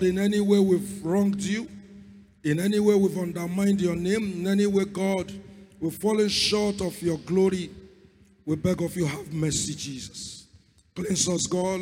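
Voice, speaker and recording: Hoarse man preaching in a reverberant hall. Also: a little high, mellow. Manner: fervent, repeats calls to God. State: hoarse, lost voice. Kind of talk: sermon with opening prayer. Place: church hall.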